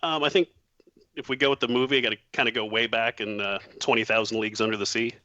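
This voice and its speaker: Quick, bright-voiced easygoing man. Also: mid-range voice, fairly even intonation, no echo, highs cut off. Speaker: quiz contestant. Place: Tampa.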